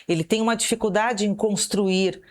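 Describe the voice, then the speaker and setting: A woman's voice: medium pitch, fairly even intonation, moderate pace, darkish tone. A woman host, interviewing guests in an inquisitive, encouraging way.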